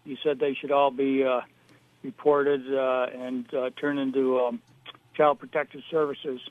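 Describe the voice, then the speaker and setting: A man calling into a radio show, halting and filling pauses with ums and uhs. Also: mid-range voice, level tone, no echo. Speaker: caller. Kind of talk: call-in phone comment. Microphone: telephone. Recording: tinny phone line.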